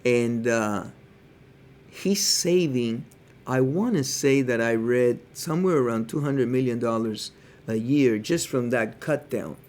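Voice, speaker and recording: A man's voice: mid-range voice, a little slow, darkish tone. A man from Cuba co-hosting a podcast, speaking earnestly and thinking aloud with hedges. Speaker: podcast co-host. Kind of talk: casual podcast chat.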